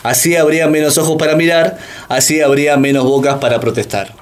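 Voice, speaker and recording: A man's voice: on the high side, fairly even intonation, brisk, close to an everyday voice. A man playing a grave, ironic storyteller narrator in a scripted radio drama.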